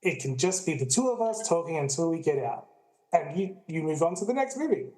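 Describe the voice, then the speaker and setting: A man's voice: on the high side, big pitch swings, a little fast, warm tone, poor audio. A man playing a laid-back, skeptical friend with a casual way of talking, in an amateur radio play.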